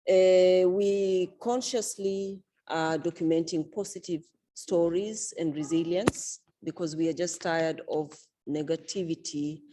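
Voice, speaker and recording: A woman author and event organizer speaking at a book launch, in a measured, unhurried way with frequent fillers. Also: low voice, mellow.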